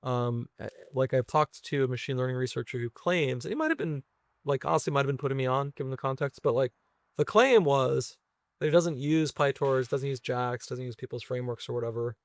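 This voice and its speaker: A man's voice: mid-range voice, brisk, dry recording. A man talking casually through technical ideas as an interview guest, reasoning aloud with hedges and tag questions. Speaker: guest, venture investor and software engineer.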